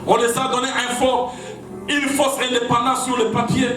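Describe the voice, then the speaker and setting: A high-pitched man with a Congolese French accent preaches to a packed gathering, throwing out shouted refrains in a driving, repetitive rhythm. His voice carries from well back in a cavernous, echoing hall. Music plays steadily underneath. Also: little pitch movement, normal speed. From Congo (DRC). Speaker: preacher addressing a rally. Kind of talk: fiery political sermon. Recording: very echoey hall, far off, music behind. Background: music playing.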